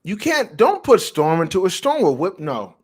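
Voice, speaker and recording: A man's voice: high-pitched, sing-song, moderate pace, darkish tone, breathy edge. A man co-hosting a fan livestream in casual banter, emphatic and teasing, repeating words for effect. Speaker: co-host of a fan debate livestream.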